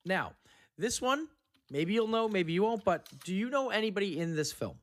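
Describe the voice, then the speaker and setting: A man's voice: sits high, animated, moderate pace, fairly bright tone. A man hosting a casual podcast chat, leading the others through a guessing game.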